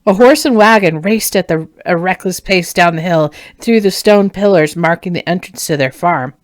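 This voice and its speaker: Female author-narrator for fantasy audiobook. Slightly low pitch, dry close sound, expressive scripted read. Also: moderate pace, dry recording. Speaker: author reading own novel.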